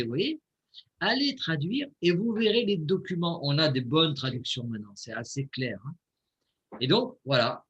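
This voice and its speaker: Male co-presenter from Italy, earnest informal explainer with lively swings of pitch, muffled lo-fi webcam sound. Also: husky. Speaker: co-presenter in an online video. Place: on camera in an online video call.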